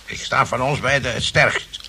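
An actor in a radio play voicing a boastful, peevish chess player with a gravelly voice and a formal, blustering way of repeating himself. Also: male voice, mid-range voice, normal speed, bright, forceful tone. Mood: indignant. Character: peevish, boastful male chess player, needling his rival. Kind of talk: radio play acting in Dutch. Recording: noisy old radio play recording.

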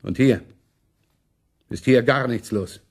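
A man's voice: medium pitch, takes it slowly. A man acting a money-minded, imperious German prince in a radio play, sardonic and complaining in manner. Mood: impatient.

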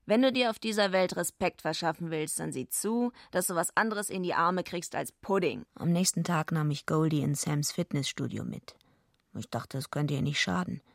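An actress playing a blunt, defiant, streetwise young female wrestler with a husky, gravelly voice in a radio drama. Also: on the low side, moderate pace, no echo, studio quality. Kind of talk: radio drama acting and first-person narration.

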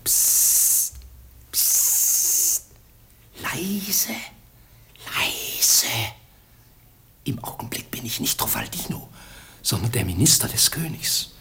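An actor playing a sly, self-important clownish servant in a comic radio play, hushed and exaggerated. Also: male voice, fairly high pitch, wildly swooping pitch, extremely slow, creaky, long pauses, in a whisper, exaggerated voice. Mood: furtive, conspiratorial.

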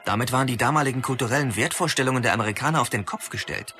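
A knowledgeable man an actor in the role explains things like a film expert, with a bright, forceful voice at medium pitch. His commentary is scripted, emphatic and informative, made for a radio play. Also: very fast, soft background music, natural voice.

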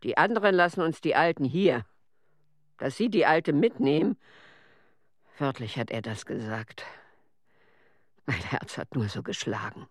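An actor playing an agitated emigrant witness who recalls persecution in a radio documentary play. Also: male voice, mid-range voice, animated, a little slow, long pauses, no room sound, clean studio sound. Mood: agitated recollection.

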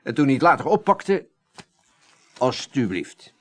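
An actor playing a middle-aged electrical contractor in a Dutch radio drama, explaining things in a courteous, practical way. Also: male voice, mid-range voice, very animated, unhurried, a bit bright. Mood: earnest explaining. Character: earnest, seasoned male electrical contractor, polite and practical.

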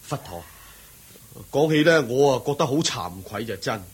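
An actor playing a poor, humble and excitable devout villager and husband in a Hong Kong Cantonese radio drama. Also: male voice, mid-range voice, moderate pace, husky. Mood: reverent, eager. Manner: deferential, excitable, chuckling and sighing.

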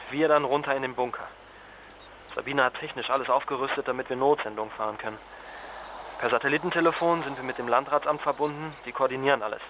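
Radio drama: exhausted journalist husband male actor, clipped and urgent, colloquial, muffled sound over clear background noise. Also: medium pitch, lightly acted. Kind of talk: naturalistic radio drama dialogue.

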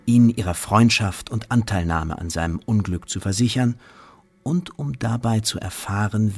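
Low-voiced male narrator. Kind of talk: literary narration in a radio play.

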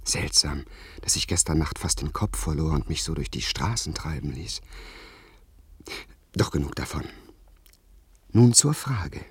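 Overwrought young lord, wildly varied pitch. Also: male voice, expressive, a little slow, pauses often, slightly rough, dry recording, heavily acted. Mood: resolved, musing. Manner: florid, theatrical, impassioned. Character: overwrought, lovestruck young English aristocrat, earnest and vain. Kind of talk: German radio play acting.

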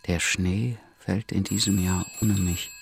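An actor in a radio drama playing a despondent, hesitant man who speaks in a halting, subdued way. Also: low-pitched, steady tone, creaky, noisy background. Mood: weary. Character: despondent, hesitant, broke man, low and weary. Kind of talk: radio drama acting with inner monologue.

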